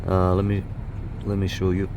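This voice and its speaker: A man's voice: sits low, monotone, moderate pace. A man giving a casual hands-on product review as a video host, talking informally and directly to viewers on a handheld camera. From Canada. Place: outdoors in a parking lot and inside a parked car. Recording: noisy outdoor recording with car sounds.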